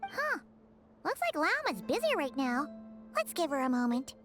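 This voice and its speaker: A woman's voice: high-pitched, wildly swooping pitch, normal speed, fairly bright tone, faint music behind, exaggerated voice. A woman voicing a small, eager, childlike fairy companion in a video game, exclamatory and caring. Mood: impatient. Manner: refers to self in third person, exclamatory. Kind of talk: video game character acting.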